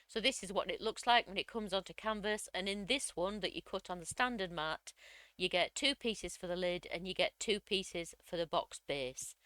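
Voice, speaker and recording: Slightly croaky woman explaining crafts. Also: fairly low pitch, normal speed, a bit bright, dry recording. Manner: chatty, step-by-step explaining. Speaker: craft tutorial host. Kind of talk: screen-recorded software tutorial.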